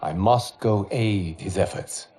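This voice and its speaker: An actor playing a wise, serene monk guardian who speaks in a formal, measured way. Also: male voice, lowish voice, dark tone. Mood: solemn. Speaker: leader of the champions.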